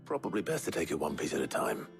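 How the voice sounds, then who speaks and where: An actor playing a brooding, reserved fantasy hero in a game cutscene, terse and understated, with a husky, breathy voice. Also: male voice, very deep voice, wildly swooping pitch, fast, faint music behind, full character voice. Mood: calm, reserved. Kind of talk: low-key game cutscene acting.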